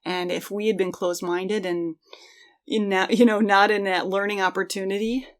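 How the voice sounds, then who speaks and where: A woman's voice: mid-range voice, steady tone, moderate pace. A middle-aged woman co-hosting a podcast, talking in a casual, candid and reflective conversational way. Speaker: podcast co-host.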